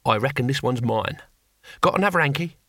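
A man voicing an old barbarian leader in an audiobook, blunt and defiant, with rough colloquial talk. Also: mid-range voice, animated, brisk, a bit bright, no room sound, studio quality. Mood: deadpan.

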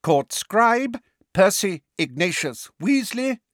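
A man's voice: mid-range voice, huge pitch swings, unhurried, fairly bright tone, no room sound, clean studio sound, pitched above the performer's own voice, heavily acted. A man voicing a cold, pompous middle-aged male official in an audiobook, declaiming formally in a ringing, officious way.

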